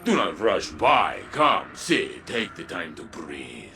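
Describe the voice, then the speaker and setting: An actor playing a weary, humble, kindly old war legend in a video game, speaking in slow, reflective, gentle phrases. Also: male voice, low-pitched, huge pitch swings, vocal fry, soft background music, heavily acted. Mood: gently hopeful. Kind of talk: video game story dialogue.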